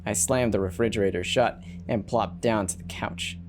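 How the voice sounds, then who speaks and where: A narrator giving an expressive, dramatic first-person reading of a horror story. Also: mid-range voice, moderate pace, slight background noise. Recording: background music behind the narration. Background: music.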